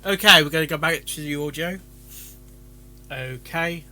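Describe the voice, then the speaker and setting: A man giving casual live reaction commentary in halting, muttered asides. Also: a little high, bright, forceful tone, faint music behind. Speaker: streamer reacting to a fan fiction reading.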